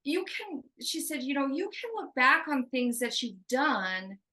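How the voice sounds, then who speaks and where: A woman medium with a bright, slightly high voice relays a spirit's answers in a loose, casual way. She hesitates, restarts and fills gaps with "like" and "you know." She speaks of the spirit in the third person. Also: big pitch swings, a little slow.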